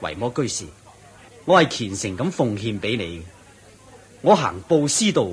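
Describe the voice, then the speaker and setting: A devout almsgiver in a mid-pitched voice with lively intonation. He sounds earnest, respectful and full of reverence, in classic radio drama delivery. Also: unhurried. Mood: earnest, reverent. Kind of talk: Cantonese radio drama acting.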